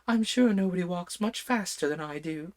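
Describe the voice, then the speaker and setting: A man voicing a sulky, loud male royal messenger in a storybook read aloud, with curt replies. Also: high voice, moderate pace, voice pushed up, lightly acted.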